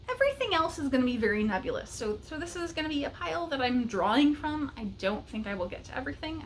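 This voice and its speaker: A woman's voice: fairly high pitch, a bit bright, rough recording. A woman chatting casually and enthusiastically to camera as a book vlogger, with small giggles breaking into her talk. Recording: noticeable background noise.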